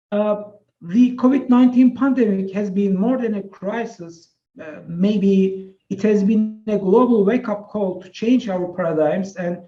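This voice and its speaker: Turkish-native man, high voice, echoey room. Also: warm tone, lo-fi sound. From Turkey. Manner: measured, reads prepared text with fillers. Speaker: associate professor giving a webinar talk. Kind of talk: prepared webinar presentation.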